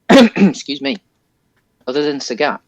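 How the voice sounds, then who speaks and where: A man's voice: a little high, big pitch swings, takes it slowly, a little mellow, dry recording, lo-fi sound. A man co-hosting a podcast, casually discussing a film in a hesitant, rambling way. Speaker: podcast co-host.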